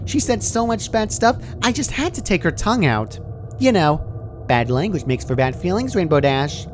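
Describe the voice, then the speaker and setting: A man's voice: high-pitched, big pitch swings, moderate pace, voice pushed up. A man voicing a cheerful, childishly chatty, menacing female pony in a perky, scolding manner, reading a story aloud. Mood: chirpy, menacing. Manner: perky, scolding, sing-song matter-of-fact chatter. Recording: clearly noisy home recording.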